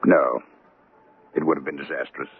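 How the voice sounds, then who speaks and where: An actor playing a genial, formal middle-aged bachelor banker in a radio drama, speaking in a measured, avuncular way. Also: male voice, rumbling low, steady tone, phone-quality sound, slight background noise. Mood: reflective. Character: genial, courtly fifty-seven-year-old bachelor banker settled in Honolulu. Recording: old radio broadcast sound.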